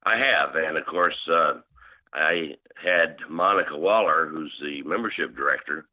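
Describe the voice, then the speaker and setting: A man's voice: very deep voice, measured pace. A man answering interview questions as a club management consultant, explaining steadily with many fillers. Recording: tinny phone line.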